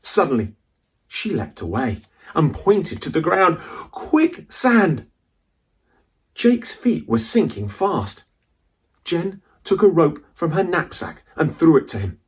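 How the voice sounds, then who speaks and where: A man reading a children's adventure story aloud as a dramatic, suspenseful narrator with deliberate delivery. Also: a little high, very animated, slightly rough.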